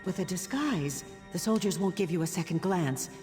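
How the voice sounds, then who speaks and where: An actress playing a guard captain's worried, discreet wife in a fantasy video game, speaking in an earnest, guarded way. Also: female voice, lowish voice, animated, moderate pace, over music, natural voice. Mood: cautious, instructing. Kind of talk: scripted game character dialogue.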